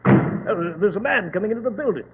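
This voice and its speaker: On a muffled, echoing, faintly hissy old radio recording, an excitable British gentleman sidekick. His voice sits high and moves animatedly, forever blustery and baffled. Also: a little fast. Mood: puzzled, impatient. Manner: blustery, exclamatory, bewildered. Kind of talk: old-time radio drama acting.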